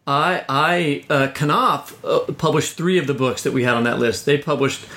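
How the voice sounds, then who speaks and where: Bright-voiced man hosting. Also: fairly high pitch, normal speed. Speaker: podcast host, novelist. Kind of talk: casual interview questioning.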